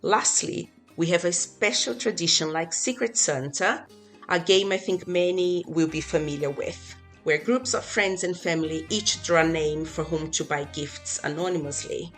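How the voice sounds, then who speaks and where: A woman's voice: lowish voice, normal speed, faint music behind. A woman from Brazil, a native Portuguese speaker, reading her part as a guest in a scripted podcast conversation.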